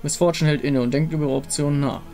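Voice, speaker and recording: Higher-voiced man narrating over music. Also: fairly even intonation, quick pace, vocal fry, a little mellow. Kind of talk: scripted game story narration.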